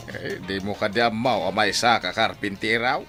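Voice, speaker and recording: A man playing a simple, good-natured but panicky young husband in an Ilocano-accented radio comedy-drama, bumbling and excitable. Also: on the low side, normal speed, soft background music.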